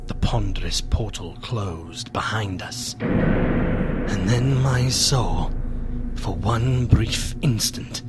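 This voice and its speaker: An actor playing an anxious, theatrical gentleman who narrates a gothic radio play with solemn deliberation. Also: lowish voice, loud music behind the voice. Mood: hushed dread. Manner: solemn, theatrical, deliberate, hushed then fervent. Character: gentleman narrator, anxious friend of a doomed nobleman.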